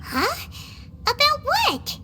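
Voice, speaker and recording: A woman voicing a tiny, excitable, childlike fairy companion in a video game, squeaky and naive. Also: very slow, over loud music, exaggerated voice. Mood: surprised. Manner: exclamatory, naive. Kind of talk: cartoonish video game voice acting.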